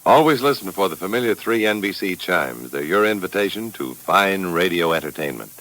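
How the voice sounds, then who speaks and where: A man's voice: low voice, sing-song, a little fast, a bit bright. An American man reading a scripted network promo in the style of 1950s radio. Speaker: network announcer. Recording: vintage radio broadcast.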